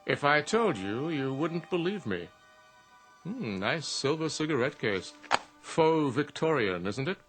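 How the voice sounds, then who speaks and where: An actor playing an urbane, smug, ancient genie in a radio drama, with a suave, formal, theatrical manner. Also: male voice, fairly high pitch, sing-song, unhurried, a bit bright, faint music behind. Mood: suave, teasing.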